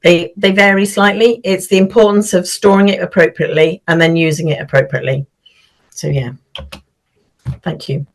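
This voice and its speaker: A woman's voice: fairly low pitch, steady tone, moderate pace. Woman plainly explaining regulations. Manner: matter-of-fact, explanatory. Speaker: webinar speaker. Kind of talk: presenting in a webinar. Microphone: video call.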